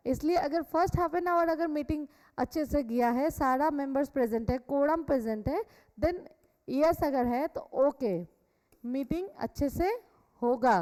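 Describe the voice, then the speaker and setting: A woman's voice: high-pitched, normal speed, darkish tone. An Indian woman teacher lecturing a class in an instructional way, repeating key points and checking that students follow. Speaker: teacher of a company law class. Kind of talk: classroom lecture mixing Hindi and English. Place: classroom with students also attending online. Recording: echoey hall-like room reverb.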